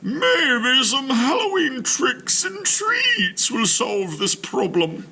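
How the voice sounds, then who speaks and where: A man voicing a kindly, clever older woman neighbor in a high, slow, sing-song voice. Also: huge pitch swings, creaky, sharp tone, a little hiss or hum, heavily acted. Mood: scheming, kindly.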